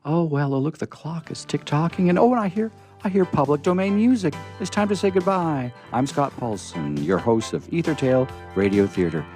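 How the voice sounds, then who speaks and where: A man hosting a radio storytelling show in casual, unscripted chat, rambling and halting in a folksy way. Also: fairly high pitch, animated, a little mellow, soft background music.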